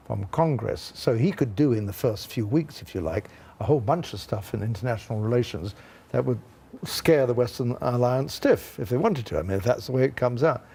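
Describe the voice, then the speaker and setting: A man's voice: mid-range voice, expressive, brisk, gravelly, mellow, slightly rough. An older British man giving expert commentary on a news panel, with wry, blunt, colloquial asides. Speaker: former ambassador, studio guest.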